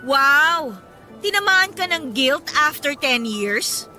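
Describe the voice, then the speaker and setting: Sharp, high-pitched, indignant mother. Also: animated, slow, soft background music, heavily acted. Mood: sarcastic, bitter. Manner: biting, sarcastic retorts. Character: indignant, sarcastic mother, bitterly wronged by her half-sister. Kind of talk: emotional radio drama acting.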